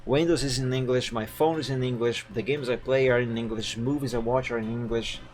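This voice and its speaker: A man's voice: medium pitch, steady tone, a little fast. Chatty man streaming from home. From Brazil. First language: Portuguese. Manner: rambling and chatty, frequent fillers and self-corrections. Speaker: livestreamer drawing live art. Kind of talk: casual stream chat.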